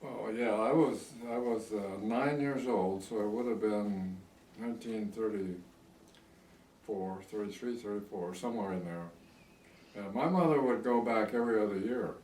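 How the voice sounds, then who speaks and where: A man in his eighties with an American accent, reminiscing in a halting, rambling way in a casual interview. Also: lowish voice, a little mellow, slight background noise. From United States. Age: 80s. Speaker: oral history interviewee. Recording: echoey room, distant microphone.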